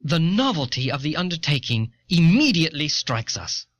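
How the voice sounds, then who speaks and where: A man's voice: a little high, sing-song, normal speed, fairly bright tone, dry recording. A man playing an earnest, learned 18th-century American statesman, reading his writings formally and oratorically on an old cassette. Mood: earnest, persuasive. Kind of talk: dramatic reading of historical writings. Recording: dull, dry lo-fi old cassette.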